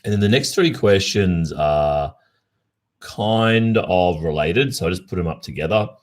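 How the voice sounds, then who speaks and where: Very deep-voiced Australian man. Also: lively intonation, unhurried. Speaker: ASMR roleplay creator and livestream host. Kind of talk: casual livestream Q&A chat.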